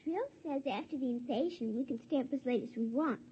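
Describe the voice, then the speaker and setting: An actress playing an earnest, excitable little girl in 1950s radio drama, insistent and tripping over her words. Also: high-pitched, fairly quick, natural voice. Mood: earnest, boastful. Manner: breathless, insistent, childlike stumbling over words. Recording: dull vintage 1950s radio recording.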